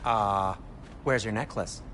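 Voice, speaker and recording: An actor playing a fussy, guilt-ridden but loyal dwarf smith in a video game, with an anxious, hesitant manner. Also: male voice, lowish voice, slow, very noisy. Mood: wry probing. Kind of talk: dramatic video game dialogue. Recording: music behind the voice. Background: game music.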